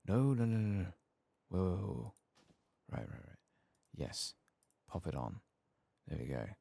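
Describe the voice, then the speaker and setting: A soft-spoken man murmuring ASMR commentary as he plays a video game. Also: low voice, very animated, unhurried, gravelly, slightly rough. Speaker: gaming streamer. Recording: faint background noise.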